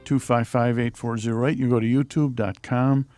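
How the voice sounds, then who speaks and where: A man's voice: medium pitch, steady tone, normal speed, a little mellow, faint music behind. A man hosting a live radio show from a studio, announcing and asking conversational questions. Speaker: radio host.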